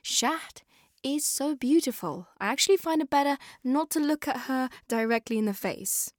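A British woman narrating a scripted podcast as host, in an expressive, conversational way that speaks straight to listeners. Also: high-pitched, normal speed, dry recording, clean studio sound. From born in Afghanistan, moved to the UK.

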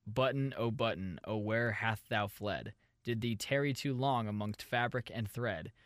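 A man's voice: on the low side, a little slow, a bit bright, dry recording. Joking man on a dry podcast mic. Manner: jokey, improvises silly bits. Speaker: podcast co-host. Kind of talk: casual comedic chat.

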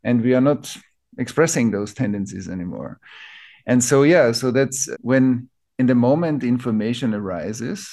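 A man from Austria with an Austrian accent, a native German speaker, explaining ideas reflectively as an interview guest, building his thoughts in short, halting phrases. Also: medium pitch, darkish tone. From Vienna, Austria. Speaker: interview guest, teacher of collective trauma healing.

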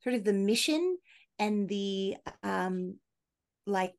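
A woman's voice: medium pitch, animated, slow pace, darkish tone. A woman with an American accent hosting a casual interview podcast, effusive and appreciative in her way of talking. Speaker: podcast host.

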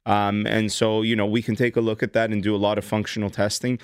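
Low, crisp-voiced man in his thirties. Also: flat delivery, no echo, studio quality. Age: 30s. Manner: measured, explanatory, with frequent restarts. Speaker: doctor and radio show host. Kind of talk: call-in health advice. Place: radio studio.

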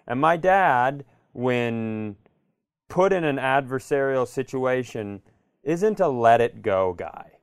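Smooth-voiced man chatting, recorded close and dry. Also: medium pitch, animated, slow pace, no echo. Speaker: comedy podcast co-host. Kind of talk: casual chat and storytelling.

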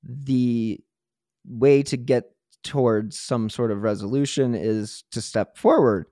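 A man's voice: medium pitch, slow, warm tone, no echo, studio quality. A man hosting a podcast, talking in a conversational interviewing style. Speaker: podcast host. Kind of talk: conversational interview and show sign-off.

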